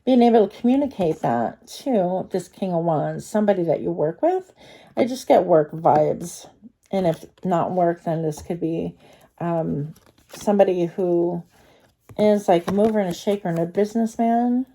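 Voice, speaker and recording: A woman's voice: lowish voice, moderate pace, mellow. A woman with a cold and a congested voice, giving a casual, chatty tarot reading to her viewers with frequent fillers and restarts. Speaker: tarot card reader.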